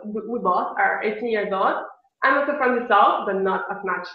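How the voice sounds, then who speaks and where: A woman's voice: medium pitch, normal speed. An eighteen-year-old woman from Colombia, chatting casually in a language exchange call in her second-language English, often laughing. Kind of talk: casual video call chat. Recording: echoey room, dull lo-fi sound.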